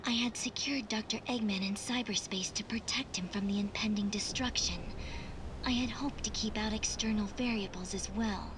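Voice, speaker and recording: A woman's voice: medium pitch, fairly even intonation, normal speed, noisy background, lightly acted. An actress playing an aloof, logical young female artificial intelligence in a video game, speaking in a formal, clipped, detached way. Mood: solemn, matter-of-fact. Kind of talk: video game cutscene voice acting.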